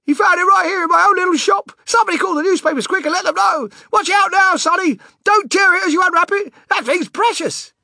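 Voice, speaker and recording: A man voicing a fat, excitable middle-aged male shopkeeper in a storybook reading. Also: a little high, racing, few pauses, no echo, studio quality. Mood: shrieking excitement. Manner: bursts into shrieking excitement, then kindly and hushed.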